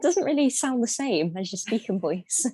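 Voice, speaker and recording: A woman's voice: fairly high pitch, sing-song, unhurried, warm tone, slightly rough, no room sound, clean studio sound. A young woman from Liverpool with a light Scouse accent, chatting as a guest singer with many ums and restarts. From Liverpool, England. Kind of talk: casual interview chat.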